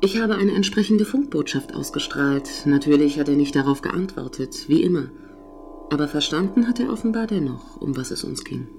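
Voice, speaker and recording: A woman's voice: sits low, animated, normal speed, vocal fry, darkish tone, soft background music. A woman playing a coolly precise station computer. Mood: matter-of-fact. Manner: formal, matter-of-fact, dryly mocking. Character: cool, precise female AI of a space station, subtly jealous. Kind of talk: science fiction audio drama acting.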